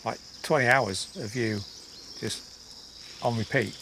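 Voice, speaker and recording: Male vlog host, mid-pitched, casual and teasing, recorded outdoors with clear background noise. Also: fairly even intonation, slow pace. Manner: joking, teasing banter. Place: outdoors on a rural island.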